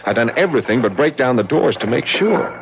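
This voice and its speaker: An actor playing an educated, resolute husband under strain, earnest and grave, in an old-time radio drama. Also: male voice, medium pitch, big pitch swings, a little fast, gravelly. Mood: tense, reassuring. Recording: dull, faintly noisy vintage radio recording.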